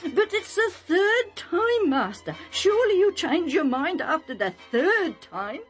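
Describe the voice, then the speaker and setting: A woman voicing a rough, pleading male herdsman with rustic, uneducated speech in a children's story. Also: extremely high pitch, very animated, measured pace, soft background music, pitched above the performer's own voice, exaggerated voice. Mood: pleading, indignant.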